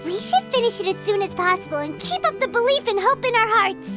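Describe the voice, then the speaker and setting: A tiny, cheeky fairy with an extremely high, childlike voice that swoops widely. The delivery is theatrical and eager, made for an animated game, with prominent music playing behind it. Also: female voice, fast. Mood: excited. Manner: speaks of self in third person, exclaims eagerly. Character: small childlike excitable female fairy companion, cheeky and theatrical. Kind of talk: animated video game dialogue.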